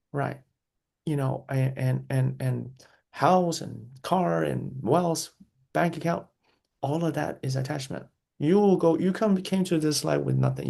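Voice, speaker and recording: Guest voice: man, very gravelly and husky, earnest and reflective, circles back and repeats himself. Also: mid-range voice, a little mellow. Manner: earnest, repeats key phrases, frequent restarts. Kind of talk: reflective interview answers.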